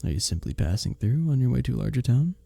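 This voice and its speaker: A man playing a kind, courteous innkeeper in a medieval fantasy village, speaking softly and formally in an intimate ASMR roleplay drama. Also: medium pitch, big pitch swings, fast, vocal fry, no room sound. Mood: curious. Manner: gentle, formal storybook phrasing, hesitant and tender.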